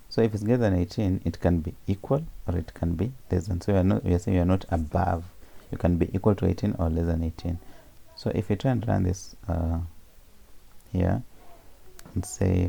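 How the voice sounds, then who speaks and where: Male coding tutor, very low and gravelly, dark tone, talks through steps with frequent ums. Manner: explains step by step with many fillers and self-corrections. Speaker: programming tutor.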